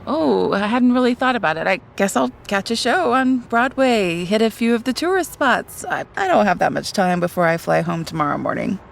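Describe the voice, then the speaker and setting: An actress playing an exasperated, practical, wryly reluctant sister in a naturalistic audio drama. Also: female voice, medium pitch, animated, moderate pace, creaky, few pauses. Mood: wry, hesitant. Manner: wry, exasperated, conversational.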